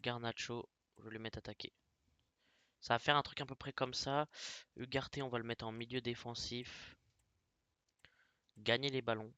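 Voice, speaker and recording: A man from France with a cold talks through a football management game in a chatty, filler-heavy way. His voice is very bright and forward, and it sits in a dry, close room. He drops into low mutters while he clicks through menus. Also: fairly even intonation, normal speed, pauses often, clear, resonant tone, dry recording. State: has a cold. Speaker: gaming YouTuber. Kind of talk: casual gameplay commentary.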